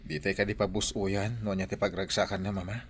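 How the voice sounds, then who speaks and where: A man's voice: on the low side, steady tone, brisk, natural voice. A man playing an earnest, persuasive adult son in an Ilocano radio drama.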